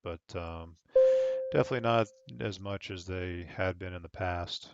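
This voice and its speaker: A man's voice: low voice, moderate pace, dull audio. A man working as an online air traffic controller, switching between radio phraseology and casual explanatory commentary. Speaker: air traffic controller on a flight simulation network, streaming.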